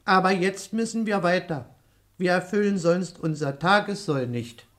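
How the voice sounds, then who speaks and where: Casting: actress as small serious fairy-tale dwarf, slightly low voice, matter-of-fact, children's radio play. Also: female voice, moderate pace, close to an everyday voice. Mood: earnest. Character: wary gossipy little fairy-tale dwarf.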